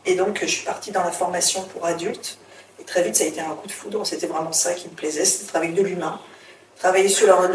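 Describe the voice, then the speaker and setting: A middle-aged woman from France, chatting as an interview guest and telling her story with frequent hesitations and restarts. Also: fairly low pitch. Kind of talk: casual interview talk. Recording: roomy, distant mic, faint background hiss.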